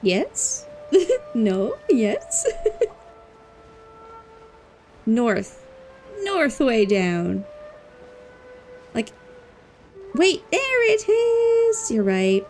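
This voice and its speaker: A woman's voice: high voice, sing-song, drawn-out words, pauses often, a little mellow, soft background music, poor audio. A woman livestreaming a game, chatting casually and playfully with her viewers, repeating words and drawing them out. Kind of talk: casual gaming stream chat with viewers.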